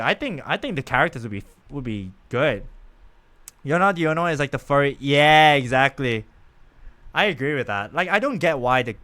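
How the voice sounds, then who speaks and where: A man's voice: a little high, a bit bright, clean tone. A man streaming a game, chatting casually and rambling to his viewers. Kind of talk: casual gameplay chat.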